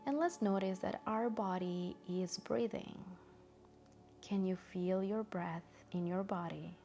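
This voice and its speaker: A woman guiding a meditation in a gentle, soothing, soft-spoken way with long pauses. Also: mid-range voice, vocal fry, faint music behind. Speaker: meditation guide. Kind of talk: guided meditation narration.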